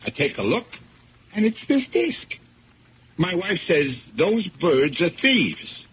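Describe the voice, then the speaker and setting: A good-natured, talkative fisherman husband in 1970s radio drama style. His voice is fairly high and bouncy, full of colloquial rambling. The sound is muffled and slightly noisy. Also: very animated, slow pace, heavily acted. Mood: chatty, animated. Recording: dull, noisy old radio recording.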